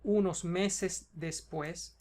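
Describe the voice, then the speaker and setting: A Spanish teacher with a Cuban accent, patiently going through a student's homework and checking in often. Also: female voice, on the low side, steady tone, very slow, dark tone. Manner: patient, explains step by step, checks in with "ok?".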